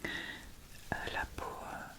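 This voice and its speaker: Slow whispering woman in ASMR style. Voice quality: whispered, breathy. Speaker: ASMR video creator. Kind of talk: ASMR makeup tutorial, casual.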